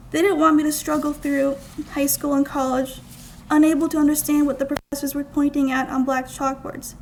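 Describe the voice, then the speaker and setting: A young American woman gives a scripted reading of her own creative writing. Her voice is high with a noticeable creak, and she phrases lines deliberately and expressively. It is a live gallery recording with faint background noise. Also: darkish tone, low-quality recording. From United States, small-town Minnesota. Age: young adult. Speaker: student writer reading own work. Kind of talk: scripted literary reading aloud. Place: college art gallery, indoors.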